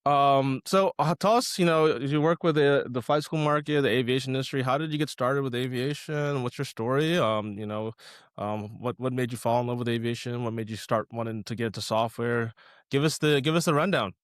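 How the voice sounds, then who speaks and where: A man's voice: mid-range voice, a little fast, smooth, no echo, clean studio sound. A man hosting a podcast, talking in an informal, conversational way. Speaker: podcast host. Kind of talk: casual podcast talk.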